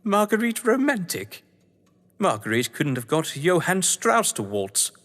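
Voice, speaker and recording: An actor playing a whimsical, scheming crime-novelist lord of the manor, with a British accent and a pompous, florid delivery, in a stage-thriller audio drama. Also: male voice, sits high, sing-song, unhurried, a bit bright, heavily acted. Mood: wry, smooth. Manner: florid, pompous, playfully grandiose. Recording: long hall-like reverb.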